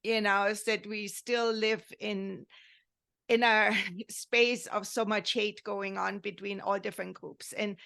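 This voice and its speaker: A woman's voice: mid-range voice, creaky, sharp tone. A woman from Germany with a German accent, telling a story in earnest, rambling podcast talk and often checking in with "right?". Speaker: podcast host.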